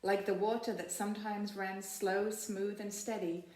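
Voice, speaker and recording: A woman reading a picture book aloud like a teacher, in expressive storytelling with casual, simply worded asides and deliberate, phrase-by-phrase delivery. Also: mid-range voice. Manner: explains to young listeners in simple, informal words. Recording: roomy, echoey sound.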